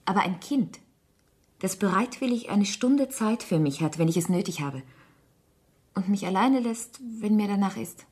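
An actress playing a composed, candid wife in a German radio drama, speaking quietly and deliberately, with restraint. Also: female voice, medium pitch, expressive, close to an everyday voice. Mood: resolute, quiet. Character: composed, candid wife leaving her rich husband.

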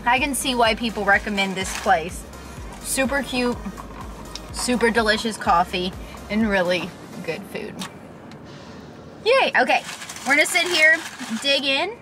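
Bubbly high-voiced woman vlogging. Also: expressive, unhurried, pauses often, over music. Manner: bubbly, chatty, upbeat. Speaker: travel vlogger. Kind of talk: casual travel vlog. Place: parked car, then outdoors on a pier and bridge. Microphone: handheld camera at arm's length.

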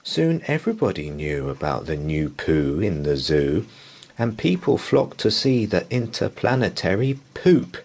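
A man's voice: sits low, big pitch swings, vocal fry, a little mellow. A man reading a children's bedtime story aloud in a playful, theatrical way. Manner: playful, theatrical, drawn-out delivery. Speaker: storybook reader.

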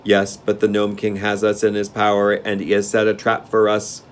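A man voicing a loyal, plain-thinking machine man in a measured, matter-of-fact storybook manner. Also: on the low side, completely flat delivery, lightly acted. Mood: resigned.

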